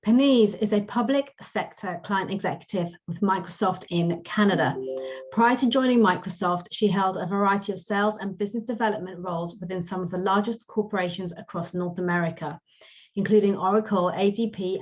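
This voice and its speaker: A woman's voice: mid-range voice, fairly quick. Polished woman podcast host, muffled sound. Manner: polished, upbeat promotional delivery. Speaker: podcast host and career coach. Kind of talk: scripted intro then interview. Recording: dull lo-fi sound.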